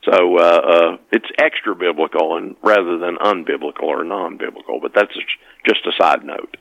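Bright-voiced man explaining emphatically. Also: low-pitched, normal speed, gravelly, no echo, highs cut off. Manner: emphatic, repeats and rephrases his points. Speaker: podcast guest, author. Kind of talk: conversational explanation in an interview.

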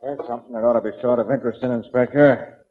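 An actor playing a plain-spoken, easily spooked police underling with deadpan wisecracks in a 1940s radio drama, husky-voiced on a lo-fi recording. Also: male voice, mid-range voice, rapid-fire, lightly acted. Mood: matter-of-fact.

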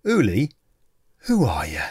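Lively-voiced male podcast host. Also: mid-range voice, unhurried, slightly rough. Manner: friendly, chatty, rambling questions. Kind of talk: casual interview chat.

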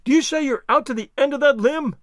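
An excitable storybook gentleman performed by a man, bossy and obsessive. His raised, animated voice issues impatient orders in formal, urgent phrases. It sounds dry and close. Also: high-pitched, normal speed, dry recording, voice pushed up. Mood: thrilled. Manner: commanding, urgent, formal diction.

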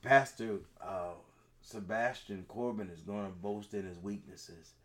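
A man hosting and introducing a guest in a preacherly style, deliberate and emphatic, repeating phrases for weight. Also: lowish voice, steady tone.

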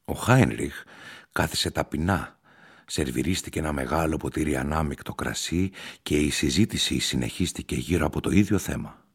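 A man narrating a literary short story for radio in a measured, deliberate manner. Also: rumbling low, vocal fry, husky. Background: music.

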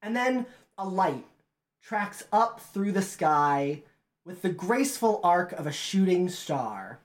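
A woman narrating a tabletop game as game master, animated and often laughing. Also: fairly low pitch, takes it slowly.

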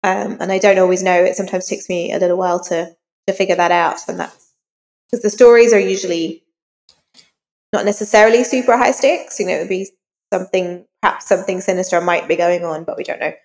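A woman's voice: fairly low pitch, fairly even intonation, fairly quick, a little mellow. A woman novelist being interviewed, explaining her craft in an informal, conversational way.

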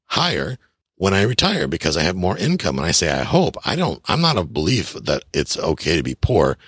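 A man's voice: low voice, huge pitch swings, brisk, a bit bright, slightly rough, no room sound, studio quality. A man in his fifties hosting a podcast, giving blunt, chatty advice on real estate and taxes straight to his listeners. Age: 50s. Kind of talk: casual advice monologue.

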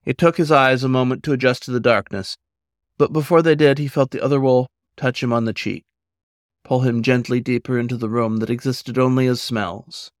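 A man reading his own fiction as a narrator, in a measured, literary way. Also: mid-range voice, fairly even intonation, dry recording, studio quality. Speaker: author reading own story. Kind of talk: scripted story narration.

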